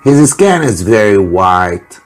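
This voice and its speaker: A man's voice: lowish voice, very animated, slow pace, soft background music, voice pushed up. A man voicing a surprised townsperson remarking from a watching crowd.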